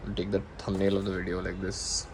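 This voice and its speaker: A man with an Indian accent casually showing his gear as a vlogger, unscripted and often pausing to search for words. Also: deep voice, completely flat delivery, background noise. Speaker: motovlogger presenting own gear. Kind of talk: casual unscripted product show-and-tell.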